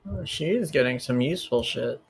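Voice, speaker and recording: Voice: medium pitch, lively intonation, a little fast, vocal fry, a little hiss or hum. A tabletop roleplaying player making casual, joking comments to the others at the table.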